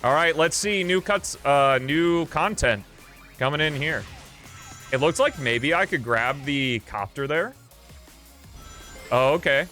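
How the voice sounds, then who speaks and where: A man's voice: fairly high pitch, expressive, slow, long pauses, sharp tone. A man hosting a livestream, giving casual, rambling gameplay commentary as he thinks aloud in an informal way. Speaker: livestream host. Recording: game music behind the voice. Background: video game music.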